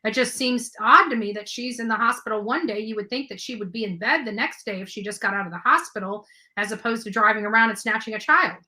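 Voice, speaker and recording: A woman's voice: fairly high pitch, fast talker, bright, forceful tone, poor audio. A woman hosting a casual true crime call-in show, speaking in a blunt, questioning way. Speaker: live call-in show host.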